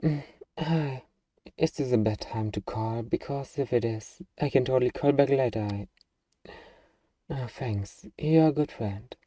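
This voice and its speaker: A man playing a gruff, defensive young zombie-apocalypse survivor with anxiety underneath, in an ASMR roleplay. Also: medium pitch, unhurried, dark tone, dry recording, far from the mic, close to an everyday voice. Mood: anxious, hesitant.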